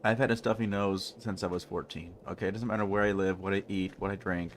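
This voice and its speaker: Deep-voiced man with a stuffy nose. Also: fairly even intonation, fast talker, slight background noise. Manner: rambling, jokey, talks to chat. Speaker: game streamer. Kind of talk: casual live-stream chat while playing.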